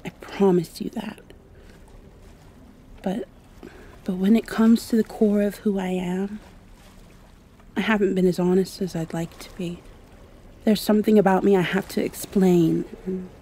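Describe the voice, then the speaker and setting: A woman playing an earnest, insecure young vampire girl in an ASMR roleplay, speaking softly and hesitantly, as if confiding. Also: medium pitch, fairly even intonation, measured pace, long pauses, slightly rough. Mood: earnest, nervous.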